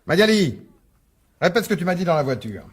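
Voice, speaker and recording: Casting: suspicious, worried dad, fairly high husky voice, insistent questioning, French radio play. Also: male voice, very animated, a little fast, full character voice. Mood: angry, accusing. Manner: insistent questioning, stammered repetitions. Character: worried, suspicious divorced father, stern with his daughter. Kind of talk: French radio drama acting.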